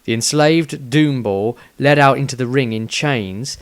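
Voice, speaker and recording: A man's voice: medium pitch, a little slow. A man reading his own fantasy story aloud as a scripted, dramatic narration with a theatrical delivery.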